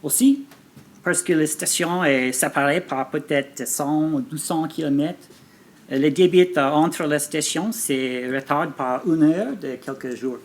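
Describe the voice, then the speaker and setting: Man, fairly high voice, native English speaker, halting delivery, hesitant, research presentation. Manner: halting second-language French, frequent hesitations. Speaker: government researcher presenting a poster pitch. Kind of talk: conference presentation. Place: live forum presentation.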